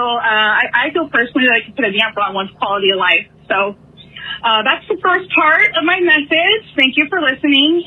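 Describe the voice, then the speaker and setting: A woman's voice: on the high side, husky. A woman leaving an earnest voicemail message, reading from her notes. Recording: tinny phone voicemail.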